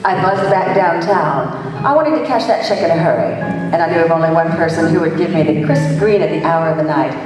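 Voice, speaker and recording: Woman performing wisecracking female private eye, lowish voice, dry and sassy, radio play, distant in a reverberant hall. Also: animated, a little fast, over music. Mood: wry. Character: brash wisecracking female private detective, narrating her case. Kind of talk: old-time radio detective play acting. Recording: echoey hall, distant microphone.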